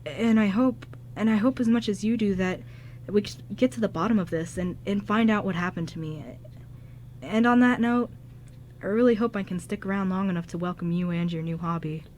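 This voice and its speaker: A woman playing a kind, nervous young man's ghost in an amateur radio drama, speaking in a halting, earnest way. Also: mid-range voice, a little mellow, a little hiss or hum, natural voice. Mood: moved, grateful.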